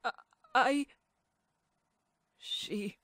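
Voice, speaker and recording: A woman's voice: drawn-out words, dry recording. A woman playing a timid, polite young boy in an audio drama, stammering and hesitant. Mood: guilty, shaken. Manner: stammering, hesitant, soft-spoken.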